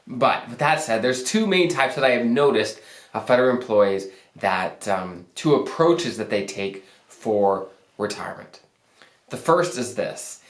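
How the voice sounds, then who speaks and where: A young financial planner hosting his channel in an upbeat, conversational way, his voice deepened by a recent cold. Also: expressive, unhurried. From Arizona, US. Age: young adult. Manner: upbeat, conversational, self-joking. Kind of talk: casual advice talk to camera. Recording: echoey room.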